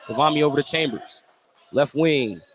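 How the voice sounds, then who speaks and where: Man, higher-pitched voice, radio basketball announcer, clipped detailed play-by-play, noisy courtside gym. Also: normal speed. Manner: clipped, detailed narration of each move. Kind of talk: live sports play-by-play commentary. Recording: noisy live gym broadcast.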